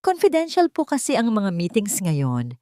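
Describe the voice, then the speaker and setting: A woman's voice: medium pitch, wildly swooping pitch, normal speed, mellow, dry recording, pitched above the performer's own voice. A woman reads the part of a deferential security guard in an audio drama. The guard is hesitant and apologetic, speaking polite Taglish in a dry recording.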